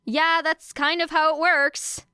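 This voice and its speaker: Woman as a sassy runaway girl, raised pitch, sarcastic, taunting, audiobook character, dry sound. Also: level tone, unhurried, sharp tone, no room sound. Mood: defiant, sarcastic. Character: cheeky, defiant runaway girl, quick with retorts.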